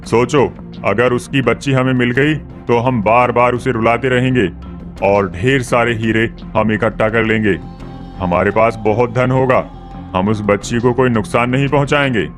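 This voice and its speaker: A man's voice: medium pitch, steady tone, normal speed, faint music behind, close to an everyday voice. A man voicing a greedy, scheming sorcerer in a cartoon story, declamatory and persuasive. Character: greedy scheming male tantric sorcerer rallying villagers. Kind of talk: Hindi cartoon story dubbing.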